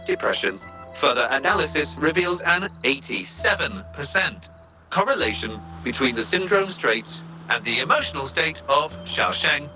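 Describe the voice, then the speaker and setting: Bright, formal robot assistant. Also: male voice, on the high side, full character voice, poor audio. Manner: formal, clipped, report-like delivery. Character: chirpy analytical robot assistant, precise and literal. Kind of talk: scripted video game voice acting.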